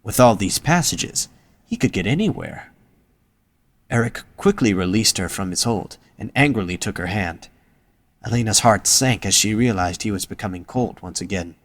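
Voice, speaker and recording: A man narrates a dramatic story from a script. His voice is a little on the low side, in the role of an audio drama narrator. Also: expressive, normal speed.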